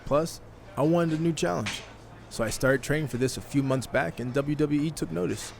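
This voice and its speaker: A man's voice: fairly high pitch, moderate pace, gravelly, slight background noise. A man playing a confident, wry American fighter turned rookie wrestler in a video game cutscene, with a dry manner. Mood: earnest, confident. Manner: self-assured, dry.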